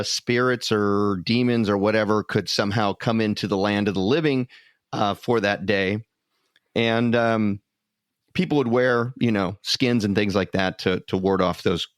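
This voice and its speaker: A man's voice: fairly low pitch, no room sound, clean studio sound. A man co-hosting a podcast in a casual, conversational storytelling style. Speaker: podcast co-host.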